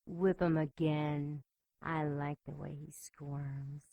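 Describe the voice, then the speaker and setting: Sadistic demon henchwoman for radio play: low register, unhurried, gloating, dry sound. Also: level tone, mellow, no room sound, muffled recording. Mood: cruel relish. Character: sadistic, slow-talking female demon minion. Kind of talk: audio drama acting.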